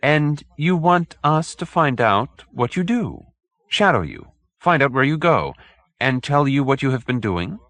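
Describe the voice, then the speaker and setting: A man voicing a calm, tactful married woman in an audiobook, with a measured, clarifying manner. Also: mid-range voice, no echo, pitched above the performer's own voice.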